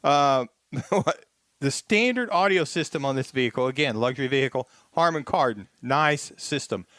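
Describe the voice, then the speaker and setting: A man's voice: mid-range voice, lively intonation, normal speed, a bit bright, no room sound, studio quality. A man casually reviewing a car on a radio show, listing features with fillers.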